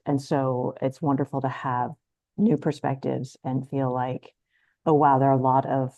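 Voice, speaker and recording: A woman speaks in a soft-toned, mellow voice with little room sound. She reflects casually and appreciatively, pausing to find her words as she shares thoughts with a group. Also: a bass voice, no room sound. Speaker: screenwriter receiving feedback. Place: video call.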